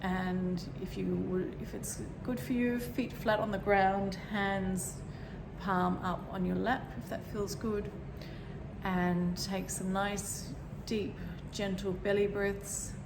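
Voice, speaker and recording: Croaky woman leading a calm meditation, kinda rambly, echoey room w/ noise behind. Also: medium pitch, takes it slowly. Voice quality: croaky, frog in throat. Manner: gentle, rambling, searching for words. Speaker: meditation teacher. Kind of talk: guided meditation talk.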